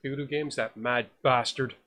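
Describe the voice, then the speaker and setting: A man's voice: mid-range voice, steady tone, moderate pace, pushed and bright. Quipping man co-hosting a podcast. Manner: chipping in short quips. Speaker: podcast co-host. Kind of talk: casual movie review chat.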